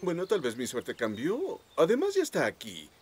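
An actor playing an excitable, stubborn, childish male hippo in a children's cartoon dub, exclaiming and whining defensively. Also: on the high side, moderate pace. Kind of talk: Spanish-dubbed children's cartoon acting. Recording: music under the voice.

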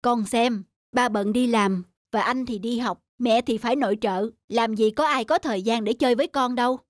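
A man voicing a patient, gentle father of young boys in an animated family story, explaining and coaxing. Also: very high voice, normal speed, no echo, clean studio sound. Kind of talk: animated family drama acting. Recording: music under the voice.

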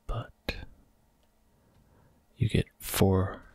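A man giving soft-spoken, gentle ASMR commentary while he plays a video game. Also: extremely slow, no room sound. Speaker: ASMR gaming host. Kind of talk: whispered ASMR gameplay commentary.

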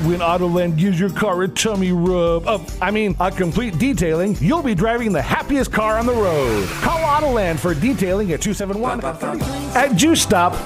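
Guy doing upbeat radio ad voiceover, high pitched n super animated, loud music behind. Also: moderate pace. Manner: animated, salesy, playful. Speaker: commercial voice-over artist. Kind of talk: upbeat radio commercial read.

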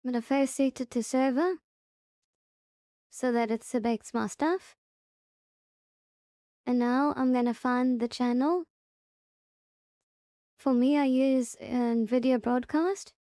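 A woman presenting a casual, step-by-step software tutorial in a plain-spoken, instructional way. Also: sits high, slow, pauses often, dry recording, studio quality.

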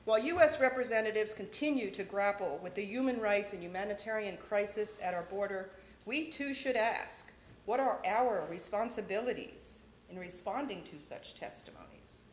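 A middle-aged woman at a podium giving a measured, formal welcome speech read from notes. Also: mid-range voice, animated, slight background noise. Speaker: event organizer introducing speakers. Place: large lecture hall, at a podium. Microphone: podium microphone. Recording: echoey hall.

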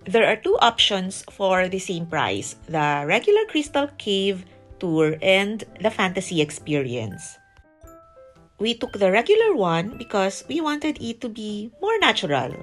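A woman's voice: medium pitch, sing-song, unhurried, soft background music. A woman giving scripted narration as a travel vlogger.

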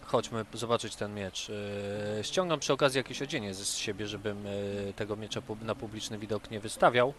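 A man's voice: lowish voice, steady tone, moderate pace, hardly any pauses, fairly bright tone, a little hiss or hum. A man playing a tabletop role-playing game, speaking for his character and describing his actions.

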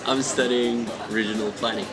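A man's voice: mid-range voice, fairly even intonation, normal speed, loud music behind the voice, rough recording. A young man with a Norwegian accent, a student talking casually and jokingly to camera outdoors on a campus. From Norway. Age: young adult. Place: outdoors under a campus walkway.

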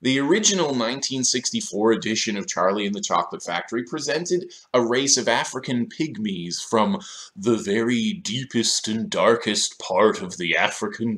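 Sardonic man with very lively intonation. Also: lowish voice, a little slow. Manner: sardonic, rhetorical questions. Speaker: video essayist. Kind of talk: scripted video essay commentary, with quotes read aloud. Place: small room indoors.

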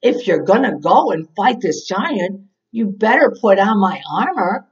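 A woman voicing a commanding king who is brisk and dismissive. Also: mid-range voice, sing-song, slightly rough. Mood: commanding, doubtful. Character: doubtful commanding king, a grown man. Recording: dull, distant-mic recording.

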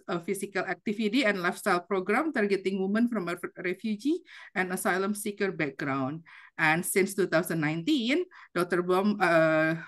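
Indonesian-accented woman moderating over a video call. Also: mid-range voice. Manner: halting, many fillers and restarts. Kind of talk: formal introduction, reading a bio.

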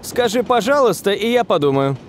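A man's voice: high voice, very animated, brisk, slight background noise. A man playing an earnest, determined young footballer hero in a cartoon dub. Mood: irritated.

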